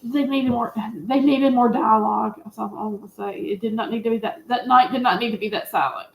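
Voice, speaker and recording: A woman's voice: on the high side, steady tone, a little fast, creaky, slight background noise. A woman chatting casually and emphatically about films and series as an opinionated panelist. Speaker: panelist on a movie review show. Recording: roomy, echoey sound.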